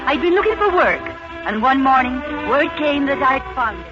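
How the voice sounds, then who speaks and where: A woman's voice: sits high, expressive, normal speed, music in the background. A woman playing a young, earnest Irish housemaid with an Irish accent, in 1940s radio drama style. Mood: wistful narration. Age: young adult. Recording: muffled 1940s radio broadcast.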